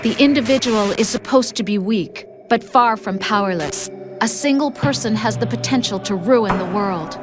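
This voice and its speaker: An actress playing a cruel artificial intelligence posing as a scornful young girlfriend in a video game, speaking with deliberate reproach. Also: mid-range voice, music in the background, natural voice. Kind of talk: dramatic game cutscene acting.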